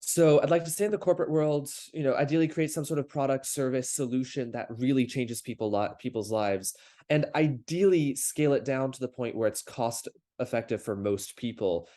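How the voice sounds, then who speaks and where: A man's voice: medium pitch, fairly quick, dark tone. A young man who grew up in Paris and speaks with an American accent, a startup founder answering interview questions in long, reflective answers. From France, grew up in Paris. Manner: articulate and reflective, speaks in long flowing thoughts. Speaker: podcast guest, startup founder. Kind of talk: casual conversational interview.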